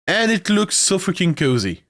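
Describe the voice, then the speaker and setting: A young man streaming a video game, talking casually with fillers and swearing, his voice rough and congested from a nasty cold. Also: high voice, sing-song, moderate pace, a bit bright, no echo, clean studio sound. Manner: chatty, swearing, self-mocking, with filler sounds. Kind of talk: casual live-stream chat.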